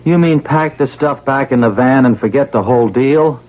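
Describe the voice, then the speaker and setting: An actor playing a gruff, bullying American crook husband, brusque and impatient, in old radio drama style. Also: male voice, mid-range voice, moderate pace, phone-quality sound, lightly acted. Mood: weary, irritated. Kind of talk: vintage radio drama acting.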